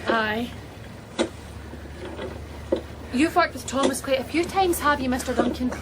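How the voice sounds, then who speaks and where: An actress playing a curious, concerned Scottish police detective in a naturalistic radio drama, asking questions in an earnest, probing way. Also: female voice, high-pitched, a little fast, very noisy, natural voice. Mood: friendly, inquisitive.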